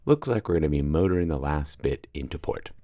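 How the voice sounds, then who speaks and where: Very deep-voiced wry man narrating. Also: brisk, dry recording, studio quality. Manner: deadpan, wry humor. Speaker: solo sailor vlogger. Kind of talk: dry voice-over narration.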